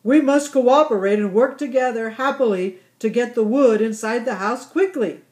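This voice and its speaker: A woman's voice: on the high side, moderate pace, a little mellow, reverberant, lightly acted. A woman doing a kindly old grandpa yak. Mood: instructing. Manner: gives cheerful instructions.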